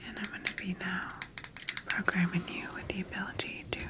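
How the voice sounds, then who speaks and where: A woman playing a calm, clinical scientist in a whispered ASMR roleplay, speaking in a hushed, measured, procedural way. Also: mid-range voice, lightly acted. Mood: focused, clinical. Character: calm, clinical female scientist tending her bioengineered creation. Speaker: ASMR performer.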